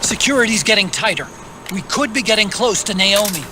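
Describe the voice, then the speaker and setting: Earnest, high-voiced support partner. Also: male voice, animated, fairly quick, very noisy. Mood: puzzled, alert. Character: earnest, alert male tech support partner. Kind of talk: video game voice acting, radio briefing.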